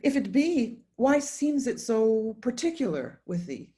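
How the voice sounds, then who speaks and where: A woman playing a middle-aged queen and mother, gently entreating in a dramatic reading of Shakespearean verse. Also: on the high side, sing-song, unhurried, breathy edge, heavily acted. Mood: pleading. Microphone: video call.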